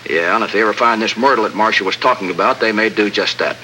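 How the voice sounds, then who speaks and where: An actor playing a steady American lieutenant in a 1950s radio drama, crisp and matter-of-fact. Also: male voice, on the low side, quick pace, a little hiss or hum, poor audio. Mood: earnest, briefing. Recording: old radio recording, faint music.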